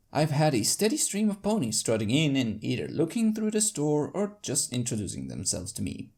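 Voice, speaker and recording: Expressive narrator with a gravelly edge. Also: mid-range voice. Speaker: fanfiction narrator. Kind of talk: scripted first-person audiobook narration in character.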